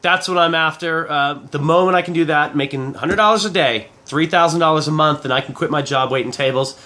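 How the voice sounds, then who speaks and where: A man in his forties with a head cold, giving a casual, rambling video pitch as an online product reviewer, informally repeating and rephrasing himself. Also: fairly quick, bright, forceful tone. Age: 40s. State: head cold, clearing throat. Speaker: affiliate marketing reviewer.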